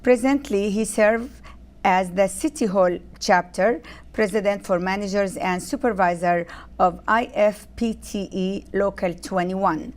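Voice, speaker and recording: A woman's voice: medium pitch, unhurried, darkish tone. A woman hosting a TV interview, reading a formal guest introduction and then prompting with brief encouraging questions. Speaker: talk show host.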